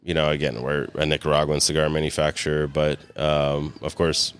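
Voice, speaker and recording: A man's voice: extremely low pitch, level tone, fairly quick, smooth. A man from California, a guest in a casual interview, rambling with lots of fillers and restarts and chuckling often. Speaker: guest, cigar company co-founder.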